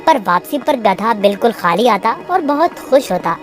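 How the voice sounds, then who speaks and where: A woman's voice: on the high side, animated, normal speed. A woman reading a scripted moral tale for children as the storyteller. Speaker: narrator. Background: music.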